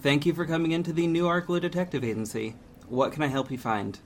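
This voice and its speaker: An actor playing a friendly young shopkeeper and amateur sleuth who jokes around and gets easily flustered. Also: male voice, on the high side, fast talker, a little hiss or hum, close to an everyday voice. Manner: lively, joking, easily flustered.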